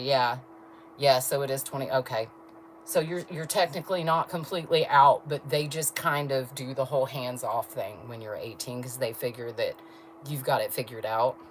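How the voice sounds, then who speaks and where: A woman with a low voice chatting with viewers on a livestream, blunt and laughing often. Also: a little hiss or hum, poor audio. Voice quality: low voice register. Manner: blunt, talks straight to chat, laughs often. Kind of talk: casual livestream chat with viewers.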